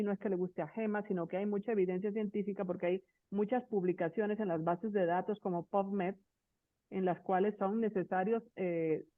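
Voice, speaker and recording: A woman's voice: medium pitch, no echo. Woman, doctor, explanatory, tinny phone line, dry sound. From Cuba. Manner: explanatory, checks in with "¿sí?". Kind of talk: explaining on a call-in show.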